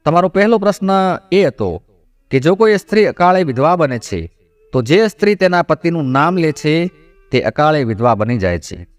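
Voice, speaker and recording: A man tells a devotional moral tale in a fairly high, very animated voice. He instructs as he narrates. Soft music plays behind him. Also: no echo. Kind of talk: scripted storytelling narration. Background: background music.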